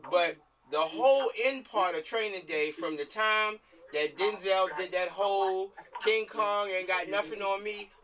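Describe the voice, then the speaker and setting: A man's voice: high-pitched, unhurried, fairly bright tone. A man leading a table read over a video call, chatting casually, repeating himself and checking in often. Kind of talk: casual group discussion. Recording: distant mic on a video call.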